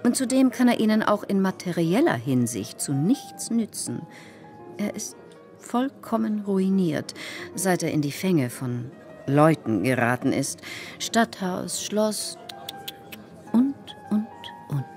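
A bossy scheming salon lady for a radio play, lowish voice, really lively and theatrical, pushy. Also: slow, soft background music, full character voice. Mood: gossipy, scornful. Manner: insistent, theatrical, mock-concerned. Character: domineering, scheming society hostess manipulating a young musician. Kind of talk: German radio play acting.

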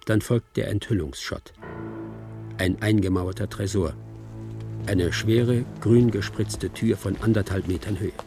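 Low, creaky screenplay narrator. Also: male voice, fairly even intonation, a little slow. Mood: detached, suspenseful. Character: detached male narrator reading out a film screenplay. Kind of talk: scripted radio drama narration. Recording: music under the voice.

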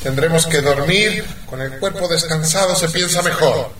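An actor playing a bossy, irritable expedition leader in a theatrical, commanding way for a comic radio play. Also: male voice, on the high side, moderate pace, a bit bright, reverberant, recorded from a distance, natural voice. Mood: bossy, irritated.

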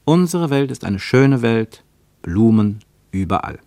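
An actor as the narrator of a literary radio play, telling a travel story in measured, rhythmic phrases. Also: male voice, medium pitch, sing-song, warm tone, no room sound. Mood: measured, descriptive.

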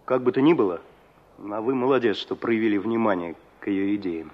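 An actor in a Soviet radio play as a gruff but good-natured village forest warden, plain-spoken and conversational. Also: male voice, on the low side, fairly quick, natural voice. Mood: reflective, explaining. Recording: dull old radio drama recording.

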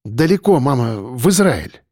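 A man's voice: mid-range voice, big pitch swings, a little mellow, no echo, clean studio sound. A man playing a harried grown son in an audiobook story, insistent and impatient with his mother. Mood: hurried, evasive. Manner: hurried, breathy exhaling, insistent.

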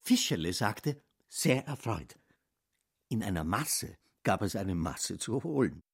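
An actor playing an indignant, sly petty thief's inner voice in a literary radio drama, with a husky tone. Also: male voice, lowish voice, very animated, slow, heavily acted. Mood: pleased, sly.

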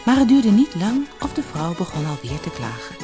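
Expressive, low-voiced woman storyteller. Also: moderate pace, over music. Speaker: storyteller, narrator. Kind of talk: scripted fairy-tale narration for children.

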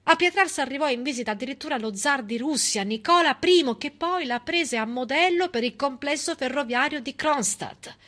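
A woman performing a theatrical narrative monologue as a stage storyteller, emphatic, with dramatic pauses and an ironic edge. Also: high voice, animated, fairly bright tone.

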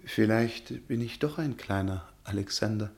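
An actor playing a naive, earnest, bookish gentleman who is easily flustered, in a German radio play. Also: lowish voice, moderate pace, creaky. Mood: uneasy wonder. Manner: pedantic, pleading, bewildered.

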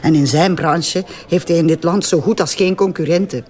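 A woman's voice: lowish voice, moderate pace, a little mellow, lightly acted. A woman with a Flemish accent playing a shrewd, confident investigative tabloid journalist in an audio drama, with a knowing, teasing manner. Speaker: actress in an audio crime drama. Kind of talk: naturalistic audio drama dialogue.

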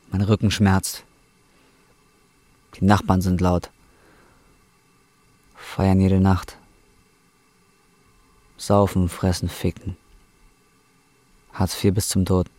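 Low-voiced, bitter young down-and-out. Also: male voice, darkish tone, no room sound. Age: 20s. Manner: slangy, crude, bitter. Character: down-and-out injured young German roofer, bitter, despairing. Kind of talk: radio drama acting and first-person narration.

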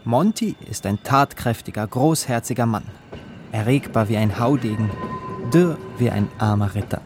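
A man's voice: mid-range voice, gravelly, darkish tone, slight background noise. An actor playing a desperate, brooding poor young man, telling his life in an intense, literary audio drama style. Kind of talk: first-person audio drama narration and dialogue.

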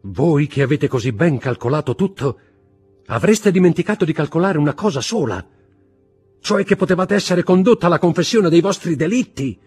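A man's voice: fairly low pitch, animated, husky, soft background music. A man voicing a stern, merciless magistrate and husband in an audiobook drama, commanding and contemptuous as he interrogates. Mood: furious, contemptuous. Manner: commanding, contemptuous, deliberate interrogation rising to shouts.

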